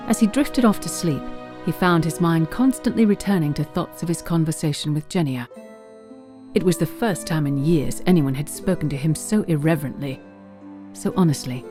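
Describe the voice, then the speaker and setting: A woman's voice: sits low, a little mellow. A woman narrating a scripted fantasy audio drama as a storyteller.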